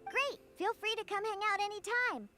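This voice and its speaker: A woman's voice: very high voice, moderate pace, pushed and bright, faint music behind. A woman voicing a young, feisty, curious cat girl in an anime game, perky and a little bossy. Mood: surprised, friendly.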